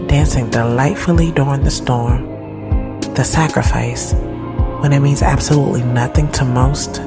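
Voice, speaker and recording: A woman playing a reflective, conflicted thirty-year-old who narrates her own audio drama in a candid, slangy, conversational way. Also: very deep voice. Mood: serene, poetic. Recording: music behind the voice. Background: music.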